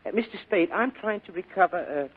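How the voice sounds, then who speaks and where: Fussy polite foreign crook, higher voice, old radio show, thin phone-like sound. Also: male voice, lively intonation, normal speed, slightly rough. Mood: courteous, careful. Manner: formal, over-courteous, hesitant. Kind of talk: 1940s radio acting.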